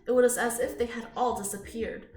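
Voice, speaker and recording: A woman reading a picture book aloud to children in an expressive, inviting way, with friendly chatty asides. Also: fairly high pitch, moderate pace. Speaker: children's storytime reader. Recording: roomy echo.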